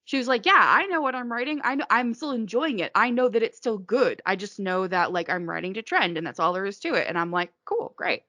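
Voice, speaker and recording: A woman's voice: medium pitch, expressive, a little fast, hardly any pauses, pushed and bright, no echo. A woman being interviewed as an author, answering in a rambling casual way full of "like" and restarts, hiccuping now and then.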